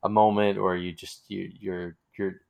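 A man hosting a casual interview, speaking in halting phrases with repeated words. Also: low voice, flat delivery, gravelly, darkish tone. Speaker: interviewer, host.

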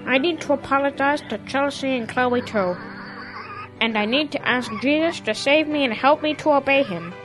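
A woman's voice: sits high, moderate pace, music in the background, close to an everyday voice, poor audio. A woman playing a stubborn, childlike young calf who insists on being a duck, in a children's audio drama. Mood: sorry.

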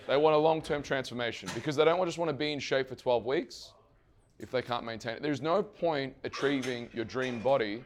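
Man, hoarse voice, fitness coach presenting live, energetic, quizzes the audience, casual swearing. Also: medium pitch, a little fast. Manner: energetic, asks the audience questions and answers them himself. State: hoarse, losing his voice.

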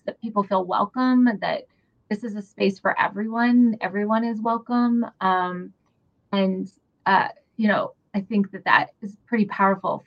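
A woman's voice: mid-range voice, vocal fry. A woman from New Hampshire, a theater director, answering interview questions earnestly with many ums and restarts. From New Hampshire, USA. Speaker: guest, theater executive director. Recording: dull lo-fi radio audio.

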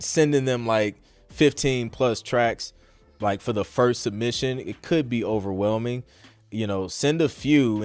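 A man hosting a casual question-and-answer podcast, talking informally with some slang and thinking aloud as he goes. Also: lowish voice. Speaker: podcast host and music producer answering questions. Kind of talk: casual live Q&A talk.

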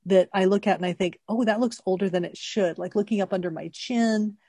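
A woman's voice: medium pitch, brisk, dark tone, no echo. A woman in her sixties co-hosting a casual chat, explaining her points thoughtfully and laughing easily. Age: 60s. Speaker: co-host.

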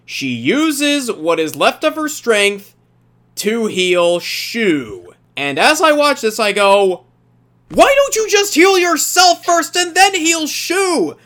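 A man's voice: very high-pitched, very animated, slow, a bit bright. A man hosting a casual podcast review, telling things emphatically and putting on mock quotes as he gives his opinions. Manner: emphatic, mock-quoting storyteller. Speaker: podcast host leading an anime review.

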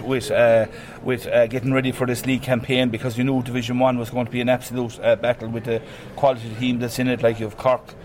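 An Irish team manager talks quickly after a match, filling his sentences with "like" and "you know". His pitch hardly moves, so his delivery sounds level and even throughout. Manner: rapid, filler-heavy, flat delivery. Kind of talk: post-match radio interview.

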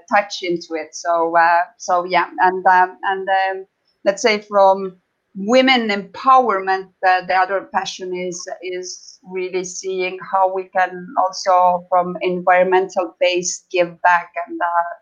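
A woman from Estonia with an Estonian accent, answering as an interview guest in a halting, conversational way with frequent pauses and repeated words. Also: on the low side, muffled recording.

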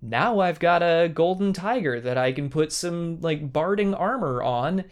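A man co-hosting a casual podcast chat about tabletop games, enthusiastic, talking in quick bursts with playful asides. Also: fairly high pitch, expressive.

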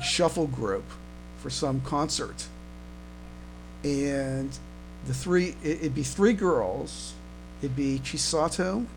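A man co-hosting a casual fan podcast, talking haltingly and often repeating and restarting his words. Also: medium pitch, a little mellow, rough recording. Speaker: podcast co-host. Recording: clear background noise, mains hum.

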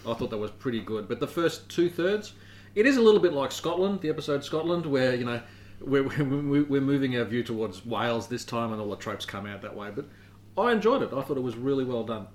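A man co-hosts a relaxed podcast in a mid-range voice. He is chatty and likes to tease the others. He laughs easily as he talks. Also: medium pitch, a little fast. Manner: chatty, teasing, laughs often. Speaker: podcast co-host. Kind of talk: casual chat.

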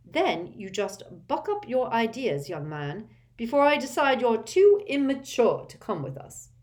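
A woman voicing an anxious middle-aged mother who snaps into sharp scolding. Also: a little high, very animated, a little slow, pitched above the performer's own voice. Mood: angry.

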